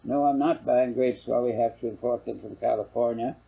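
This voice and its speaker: A man voicing a sharp-witted, principled farm mother with a dry, pointed manner in a storybook. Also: mid-range voice, fairly even intonation, a little fast, vocal fry, husky, low-quality recording.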